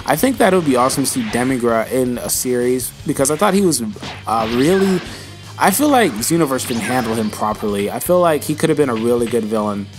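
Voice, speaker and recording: A man's voice: mid-range voice, expressive, a little fast, over music. A young man answering viewer questions in a casual vlog, rambling and slangy and calling viewers bro, with his voice starting to go. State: losing his voice.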